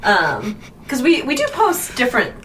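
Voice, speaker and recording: A woman's voice: on the high side, wildly swooping pitch, normal speed, far from the mic, background noise. A woman co-hosting a podcast in casual, conversational chat. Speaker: podcast co-host.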